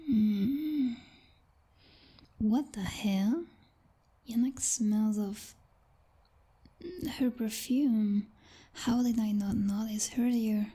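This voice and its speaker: A woman's voice: mid-range voice, warm tone, slightly rough. A woman playing a jealous, possessive vampire girlfriend in an ASMR roleplay, whispering breathily in a teasing, commanding and condescending way. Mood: hungry, commanding, then disgusted, jealous.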